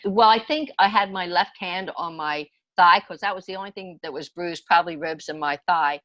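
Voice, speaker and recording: A woman's voice: fairly low pitch, moderate pace, dry recording, lo-fi sound. A woman in her sixties telling personal stories in a chatty, emphatic, conversational way as a podcast guest. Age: 60s. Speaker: podcast guest, homeopathy enthusiast.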